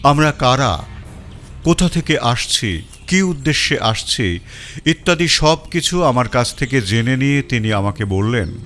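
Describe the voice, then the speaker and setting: A man's voice: mid-range voice, expressive, measured pace, fairly bright tone, faint music behind. A man narrating a first-person detective story in a storytelling style.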